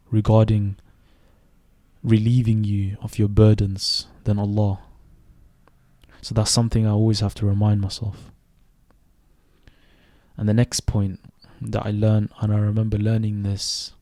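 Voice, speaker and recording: Low, even-toned reflective young man. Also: no echo. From Sweden. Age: 20s. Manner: thoughtful, measured, with pauses and self-corrections. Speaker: podcast host. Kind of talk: reflective solo podcast monologue.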